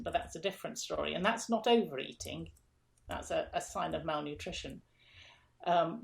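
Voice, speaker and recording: A woman's voice: lowish voice, vocal fry. A middle-aged woman from England, a guest in an interview, explaining food systems step by step with emphatic repeats.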